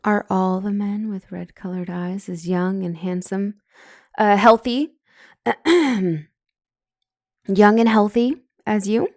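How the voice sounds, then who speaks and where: A woman's voice: mid-range voice, slow pace, darkish tone, dry recording, studio quality. A woman playing a flustered, smitten couples counselor who stumbles and corrects herself in a comedic audio roleplay. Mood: flustered, astonished. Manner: stammering, awkwardly self-correcting. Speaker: doctor and counselor.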